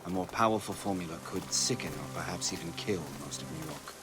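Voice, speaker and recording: An actor playing a grave military mentor in a video game, instructing formally and with measured care. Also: male voice, sits low, music in the background, close to an everyday voice. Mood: grave, instructive.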